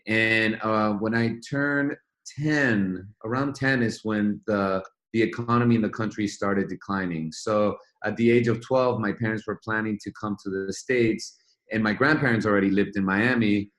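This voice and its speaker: A man's voice: on the low side, moderate pace, fairly bright tone. A man from Venezuela, a guest in an interview, telling his story in a reflective, rambling way with frequent restarts. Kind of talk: casual interview conversation.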